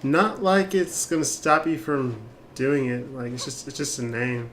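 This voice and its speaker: A man's voice: medium pitch, steady tone, measured pace, a bit bright. Offhand male streamer, faint background noise. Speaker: game streamer. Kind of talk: casual live gameplay commentary.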